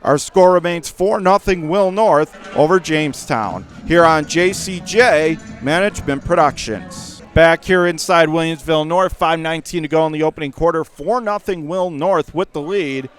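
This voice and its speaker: A man doing live radio play-by-play of a basketball game in punchy short phrases, getting excitable on big plays. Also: fairly high pitch, moderate pace, soft background music. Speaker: radio play-by-play sports announcer. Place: indoor school gymnasium during a game.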